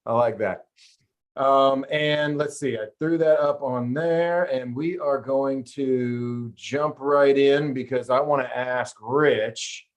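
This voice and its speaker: A man's voice: mid-range voice, slow pace. Chatty, rambling male podcast host. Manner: chatty, rambling, playful storyteller. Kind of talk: casual interview chat.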